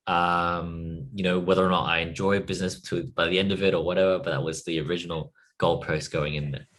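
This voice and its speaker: A man in his thirties from Australia, a business coach giving relaxed interview answers with lots of "like" and "you know". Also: low-pitched, a little fast. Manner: relaxed, uses filler words.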